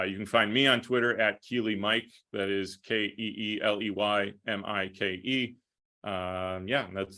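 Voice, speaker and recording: An American man answering interview questions conversationally as a guest broadcaster, with measured, hesitant phrasing. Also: lowish voice, bright, forceful tone. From Minnesota, United States. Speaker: podcast guest, hockey play-by-play broadcaster.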